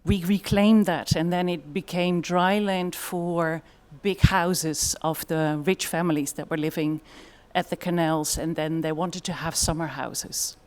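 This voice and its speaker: A woman's voice: lowish voice, steady tone, a little slow, few pauses, darkish tone. A woman with a Dutch accent giving a conference presentation in a thoughtful way, with frequent fillers. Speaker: conference speaker.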